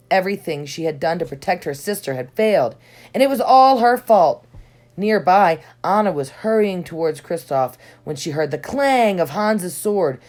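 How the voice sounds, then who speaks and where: A woman reading a children's storybook aloud in expressive narration, with dramatic emphasis on key words. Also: fairly low pitch. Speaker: storybook reader. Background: soft music.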